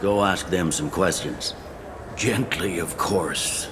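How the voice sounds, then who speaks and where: A wry male security officer in a video game, low-voiced and dry. Busy station ambience hums clearly behind him. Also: slow, background noise.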